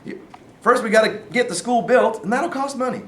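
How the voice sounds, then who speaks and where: A man in a staged play reading, playing a gruff, scheming Southern man of authority with a folksy, commanding manner. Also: extremely high pitch, a little fast. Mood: firm, scheming. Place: live staged reading in a room. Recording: roomy, distant mic, faint noise.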